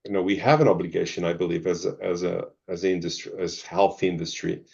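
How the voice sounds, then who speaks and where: A middle-aged man, answering interview questions thoughtfully and pausing often with ums and restarts. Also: low-pitched, steady tone, gravelly, darkish tone. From Zimbabwe, Portuguese family. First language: Portuguese. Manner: hesitant, many ums and restarts. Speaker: pharma executive guest. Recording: dull lo-fi audio.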